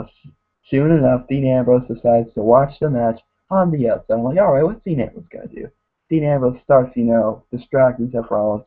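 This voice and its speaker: A man reviewing a wrestling show in a rambling casual way, saying "guys" constantly and getting hoarse. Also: mid-range voice. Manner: mocks wrestlers in put-on voices. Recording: tinny phone-like lo-fi audio.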